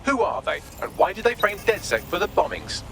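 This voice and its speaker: A man's voice: high-pitched, very animated, fairly quick, creaky, bright, forceful tone, slight background noise, full character voice. A man voicing a smug, sardonic British AI assistant in a video game, with a wry, wordy, theatrical manner. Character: cheeky sardonic male AI assistant, quippy and smug.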